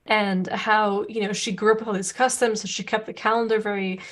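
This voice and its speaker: A woman's voice: medium pitch, fairly even intonation, fairly quick. A woman co-hosting a podcast in casual chat, rambling with many restarts, whose first language is Serbo-Croatian. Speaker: podcast co-host.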